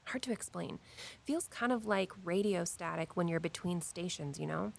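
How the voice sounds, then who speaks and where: A woman's voice: on the low side, moderate pace, vocal fry. A woman playing an earnest, caring, mystically minded tour guide and daughter in an audio drama, speaking conversationally. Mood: earnest, intuitive.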